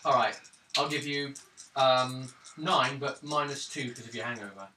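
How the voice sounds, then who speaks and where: A man running a tabletop role-playing game as game master, describing scenes and calling for rolls in halting phrases. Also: mid-range voice, bright, forceful tone. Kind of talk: improvised tabletop game narration and refereeing. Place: indoors around a gaming table. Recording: roomy, distant tabletop recording with background noise.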